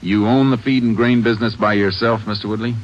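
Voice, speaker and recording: An American actor playing a calm, probing Texas Ranger in an old-time radio crime drama, measured and matter-of-fact. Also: male voice, lowish voice, close to an everyday voice. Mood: probing, businesslike. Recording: muffled, noisy old radio broadcast recording.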